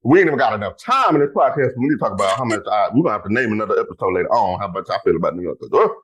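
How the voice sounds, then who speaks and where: A man hosting a casual podcast, talking in an animated way, exclaiming loudly and speaking straight to his listeners. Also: on the low side, very fast. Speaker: podcast host.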